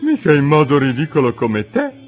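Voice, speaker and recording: A man's voice: a little high, huge pitch swings, moderate pace, soft background music, voice pushed up. A man voicing an indignant, whiny little dormouse in a storybook reading.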